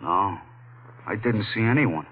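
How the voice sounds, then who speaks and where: An actor playing a jumpy, frightened American tenement janitor in a 1940s radio drama, hesitant and plain-spoken. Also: male voice, lowish voice, lively intonation, unhurried. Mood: wary, gossipy. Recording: muffled, tinny 1940s radio recording.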